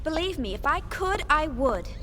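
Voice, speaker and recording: A woman's voice: sits high, very animated, normal speed, heavy background noise. An actress playing a stubborn young civilian woman engineer in a sci-fi video game, speaking earnestly and emphatically over music. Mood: defensive, worried. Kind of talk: English-dubbed sci-fi video game cutscene acting. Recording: loud music behind the voice.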